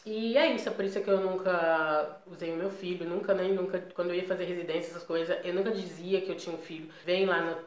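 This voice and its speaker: A Brazilian woman with a Brazilian Portuguese accent talks to her viewers in a casual personal vlog. Her voice sits on the lower side, and her delivery is chatty and emphatic, full of tag questions. The recording has a roomy echo. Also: fairly even intonation, fast, hardly any pauses. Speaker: vlogger.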